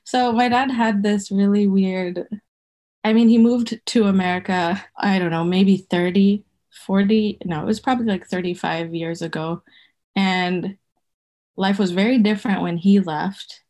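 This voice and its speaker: A woman's voice: medium pitch, fairly even intonation, a little slow, darkish tone. A woman in her thirties from Zimbabwe, raised in Botswana, chatting as a podcast guest in a loose, rambling way, often correcting herself and laughing. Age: 30s. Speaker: guest, folk herbalist. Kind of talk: casual interview conversation.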